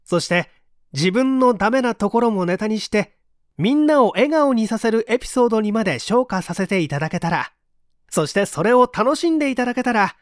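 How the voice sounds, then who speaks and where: Lively-pitched audiobook narrator, dry close sound. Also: fairly quick, no echo. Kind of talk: scripted book reading.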